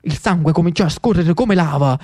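A man's voice: a little high, fairly quick, a little mellow. A man with a Neapolitan accent narrating a theatrical monologue as an actor-storyteller, dramatic and ironic, slipping into dialect.

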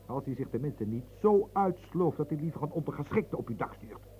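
An earnest, pleading adviser, played by a man in a radio play. His voice is dark and lively in pitch as he urges and warns. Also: brisk, natural voice, low-quality recording. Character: loyal adult male adviser, urgent and warning.

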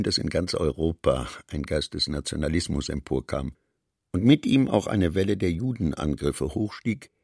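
A man reading literary prose for an audio drama in a measured, precise storytelling manner. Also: low-pitched, a little mellow, breathy edge, no room sound, studio quality. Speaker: narrator.

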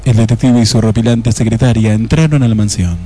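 A man's voice: on the low side, steady tone, fast talker, dark tone. A man narrating a scripted comic radio drama in a mock-dramatic manner.

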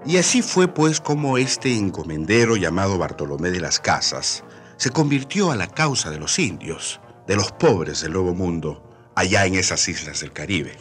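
A man's voice: deep voice, big pitch swings, moderate pace, fairly bright tone, soft background music. A man hosting a radio program, reading scripted narration in a declamatory presenter's style.

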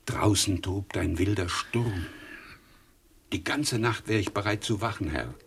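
Devoted old-world servant, deep n raspy, slow, humble, pleading with his master, radio drama. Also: slight background noise. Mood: devoted, worried. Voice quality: husky, gravelly.

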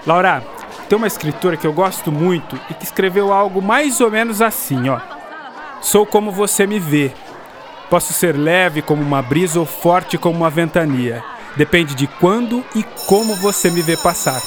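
A man's voice: high-pitched, lively intonation, normal speed, over music. A man playing a kindly, philosophical literature teacher in a radio drama. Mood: reflective. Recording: noisy school ambience and crowd behind.

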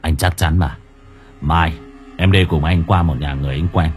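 A man's voice: a bass voice, fairly even intonation, moderate pace, darkish tone, husky, voice pushed down. A man playing a protective boyfriend who speaks firmly and reassuringly in a horror audio story. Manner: firm, soothing, repeats reassurances.

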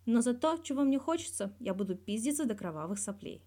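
A young male sports star played by a woman narrator answers in an audiobook interview. He is wry, guarded and blunt, with dry teasing in a mellow voice. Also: a little high, lively intonation, fairly quick, voice pushed up. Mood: self-assured, frank. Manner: guarded yet blunt, dryly teasing, swears freely.

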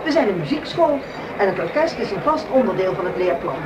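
An actress playing an earnest, enthusiastic champion of a music school in a radio drama. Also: female voice, mid-range voice, big pitch swings, fairly quick, close to an everyday voice. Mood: earnest, proud. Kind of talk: naturalistic Dutch radio drama acting. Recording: distant, loud concert crowd and noise.

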